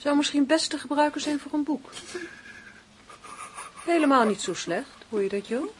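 An actress playing a proud, dominant, strong-willed woman in a Dutch radio play, speaking in a measured, deliberate way. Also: high-pitched, expressive. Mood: cool contempt. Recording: noisy old radio play recording.

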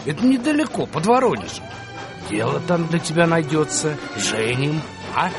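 An actor in a radio play voicing a kindly, fatherly army major who talks in a hurried, coaxing, familiar way. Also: fairly high pitch, sing-song. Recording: music bed behind the voice.

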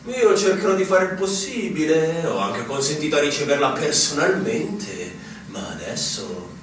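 An army officer in a radio play, high theatrical voice, distant and noisy recording. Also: male voice, sing-song, normal speed, very echoey. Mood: officious, evasive. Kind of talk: theatrical radio drama acting.

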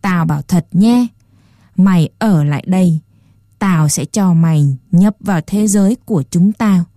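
A woman voicing a smug, swaggering male rat who mocks and tempts in a low, sly voice. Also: measured pace, warm tone, dry recording, clean studio sound, close to an everyday voice. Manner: mocking, chuckling, boastful.

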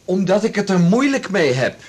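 An actor playing an evasive male cello teacher ending an affair, speaking haltingly in a naturalistic radio drama. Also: high-pitched, big pitch swings, darkish tone. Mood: defensive.

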